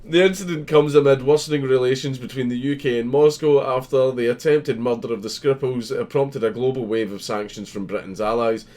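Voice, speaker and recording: Male host, mid-pitched, reads and riffs on news casually; sardonic, amused and foul-mouthed. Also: brisk, few pauses, a little mellow. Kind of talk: casual commentary reading a news article aloud.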